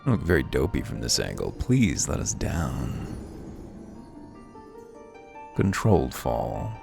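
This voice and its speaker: Very deep, gravelly man narrating gameplay. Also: sing-song, takes it slowly. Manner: wry, offhand, reads game text aloud. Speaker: let's play host. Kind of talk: casual gameplay commentary. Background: faint game music.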